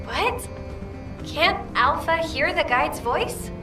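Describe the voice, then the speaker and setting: An actress playing a perky, naive young female fighter in a video game, excitable in manner. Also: sits high, slow pace, far from the mic. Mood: surprised. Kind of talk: animated video game acting. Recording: echoey, off-mic, music behind.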